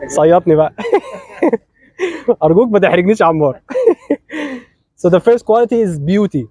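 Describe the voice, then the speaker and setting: A man's voice: sits high, animated, very fast, dark tone, no echo. A young Egyptian man leading a wedding as officiant. He is a little sick and his voice isn't at full strength, but he talks playfully and teases the couple. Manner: playful, teasing, switches between English and Arabic. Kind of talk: lively wedding sermon, joking with the couple.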